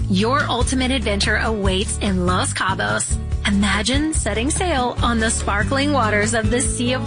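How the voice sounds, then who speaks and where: High-voiced woman reading an inviting travel ad. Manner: inviting, evocative. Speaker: commercial announcer. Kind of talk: scripted travel ad read. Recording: music bed behind.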